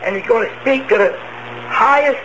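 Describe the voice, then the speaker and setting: A man's voice: very high voice, moderate pace, bright, forceful tone. A man preaching an end-times radio sermon, emphatic, asking rhetorical questions and answering them himself. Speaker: preacher, self-proclaimed prophet. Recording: noisy radio broadcast.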